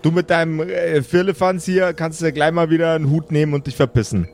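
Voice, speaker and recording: A man's voice: on the high side, fairly even intonation, fairly quick, darkish tone, faint music behind, natural voice. A man voicing a grumpy, bossy undead necromancer in an improvised audio play, rambling and blustering in colloquial speech. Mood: irate, threatening. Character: grumpy, foul-mouthed undead necromancer lord, male, casually bossy.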